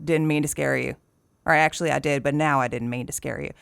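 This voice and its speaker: A woman's voice: sits low, fairly even intonation, fast talker, creaky, clear, resonant tone, dry recording, clean studio sound. An actress playing an elderly, folksy small-town woman, teasing and sardonic, in an audio drama. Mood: sly, casual. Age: older. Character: sly, folksy, gun-toting elderly desert townswoman.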